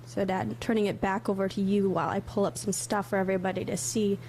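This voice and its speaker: Woman livestream host, mid-pitched, chatty and laughing, faint background hum. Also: little pitch movement, fast talker, darkish tone, low-quality recording. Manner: chatty, laughs easily, thinks aloud. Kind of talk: casual livestream chat.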